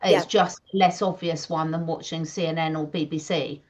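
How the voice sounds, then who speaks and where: A woman's voice: deep voice, steady tone, moderate pace, darkish tone. A woman co-hosting a casual chat, talking earnestly in long, emphatic runs. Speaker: co-host. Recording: slightly dull lo-fi audio.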